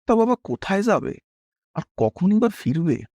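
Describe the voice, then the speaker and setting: A man acts out an elderly, kindly village tea server in an animated horror tale. His speech is rustic and deferential, with big swings in pitch and a worried fatherly care. The sound is dry and intimate. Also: moderate pace, no room sound, exaggerated voice. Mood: concerned. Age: older. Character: kindly old village tea-serving uncle, humble, worried. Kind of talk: animated horror story dialogue.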